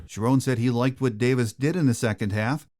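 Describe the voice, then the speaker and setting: A man's voice: mid-range voice, brisk, a little mellow, a little hiss or hum. A man hosting a podcast, reading scripted narration. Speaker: podcast host.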